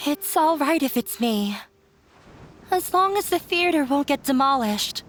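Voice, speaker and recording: A woman voicing a sad young woman scarred by a strict father, speaking in a quiet, resigned, wistful way. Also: sits high, lively intonation, measured pace, faint music behind. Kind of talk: game voice acting.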